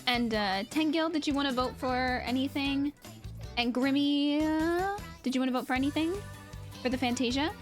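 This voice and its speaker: A woman's voice: high-pitched, normal speed, soft background music. A woman chatting casually and jokingly with viewers as she streams a game. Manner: informal, joking. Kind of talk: casual livestream chat with viewers.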